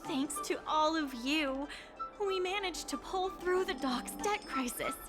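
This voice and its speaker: Game character: upbeat young dock manager, high earnest voice, music underneath. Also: female voice, unhurried. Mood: upbeat, determined. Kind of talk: video game voice acting.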